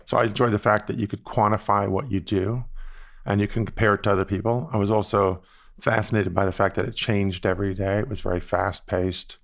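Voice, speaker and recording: Low-voiced man in his sixties from the Bahamas. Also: steady tone, creaky. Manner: measured, reflective, with frequent "you know" asides. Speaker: guest, investment firm founder. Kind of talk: relaxed storytelling interview answers.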